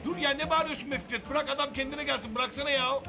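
An actor playing a feisty, loyal and excitable friend in a TV drama, slangy and insistent. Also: male voice, extremely high pitch, quick pace, gravelly, music in the background. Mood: scolding. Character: feisty, loyal, excitable male pal of the brothers. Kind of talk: Turkish TV drama acting.

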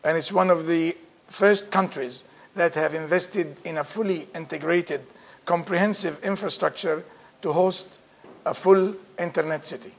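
A man's voice: on the high side, measured pace, gravelly. A middle-aged man giving a formal introduction at a podium microphone in a lecture room, speaking with some hesitant restarts.

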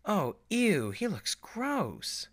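A man putting on a high-pitched little girl pony. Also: wildly swooping pitch, extremely slow, exaggerated voice. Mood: disgusted. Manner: earnest, childlike. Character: little girl pony fillies, innocent and excitable. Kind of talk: fan fiction storybook reading.

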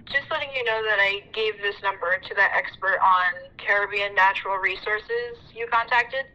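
A woman's voice: medium pitch, moderate pace, sharp tone. A woman playing an earnest sister leaving a voicemail, heard through a telephone filter, in an audio drama. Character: Laura, earnest sister leaving a phone message. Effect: telephone answering machine filter. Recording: tinny answering machine playback.

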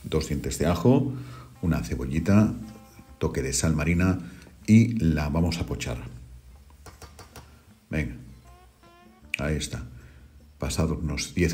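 A middle-aged man, hosting a home cooking video and calmly talking viewers through each step. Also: a bass voice. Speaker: cooking channel host. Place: home kitchen.

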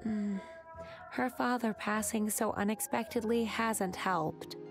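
A woman's voice: medium pitch, fairly even intonation, takes it slowly, over music. A woman playing a kindly, concerned diner worker in a video game.

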